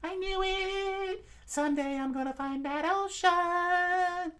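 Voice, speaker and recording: A man voicing a nine-year-old cook's apprentice, a boy who dreams big, in a very high, shrill voice. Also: slow, sharp tone, heavily acted. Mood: excited.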